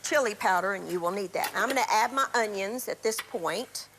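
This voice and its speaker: A middle-aged woman, raspy from a cold, chattily walking viewers through a recipe on a live TV cooking show. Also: medium pitch, brisk, noisy background. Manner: chatty instructional, throat clearing. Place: TV studio kitchen.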